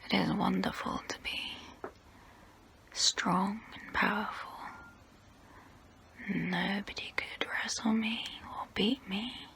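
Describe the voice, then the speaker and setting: An ancient vampire woman acted by a woman confides in a close, breathy whisper for an ASMR role play. She speaks very slowly, with deliberate pauses and a creaky, bright edge. Her manner is intimate and seductive, proud and wistful. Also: mid-range voice, steady tone, exaggerated voice. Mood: wistful pride.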